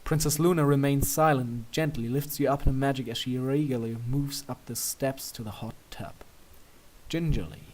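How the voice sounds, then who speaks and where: A man narrates a piece of fan fiction from a script. His voice sits at a medium pitch, and he reads in a storytelling style. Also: a little mellow, poor audio.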